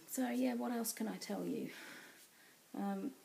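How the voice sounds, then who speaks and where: A woman's voice: fairly high pitch, takes it slowly, creaky, a little mellow. An English woman in her thirties chatting casually on a home craft vlog, rambling with lots of ums. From England. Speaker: cross-stitch vlogger. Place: small room indoors at home. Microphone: built-in webcam mic at close range.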